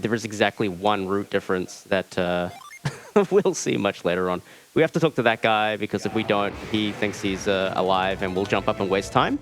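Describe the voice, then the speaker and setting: A man's voice: on the low side, a little hiss or hum, low-quality recording. A man with a New Zealand accent giving casual, dryly joking live commentary as he plays a video game. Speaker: speedrunner presenting a live run. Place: live speedrunning marathon event. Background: game music.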